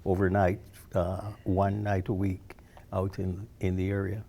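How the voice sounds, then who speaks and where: Elderly male interviewee from Barbados. Creaky, mellow voice, halting delivery with repeated words, conversational reminiscing. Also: low voice, monotone. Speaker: interview guest, retired social worker.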